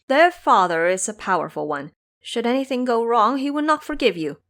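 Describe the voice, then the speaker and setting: A woman performs an audio drama princess. She is an earnest, noble mother who pleads and protests with animated, emotional intonation. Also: fairly high pitch, moderate pace. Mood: indignant, frightened.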